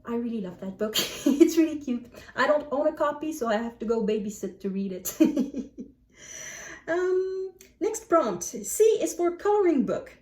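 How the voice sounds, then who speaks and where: A woman book vlogger chatting casually to camera in an animated, self-deprecating way. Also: sits high, a little slow. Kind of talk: casual chat to camera, answering book prompts. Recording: echoey room, distant microphone.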